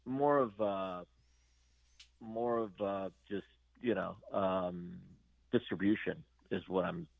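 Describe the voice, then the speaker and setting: Gravelly-voiced man, halting speech. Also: deep voice, dry recording, phone-quality sound. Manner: halting, full of fillers and restarts. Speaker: band guitarist guest. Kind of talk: casual interview chat.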